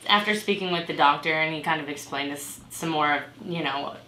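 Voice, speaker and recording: Lady acting as encouraging patient navigator, low voice, chatty explaining, role-play in an echoey room. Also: fairly quick, pushed and bright. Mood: gentle, explanatory. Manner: conversational, explanatory. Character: supportive female patient navigator, gentle and encouraging. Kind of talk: amateur role-play dramatization. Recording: echoey room with background noise.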